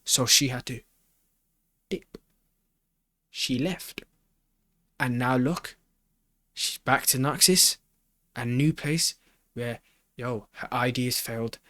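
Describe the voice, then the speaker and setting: A man's voice: medium pitch, bright, forceful tone, dry recording, studio quality. A man hosting a reaction video, thinking aloud in a casual, slangy way and often repeating his phrases as he rambles.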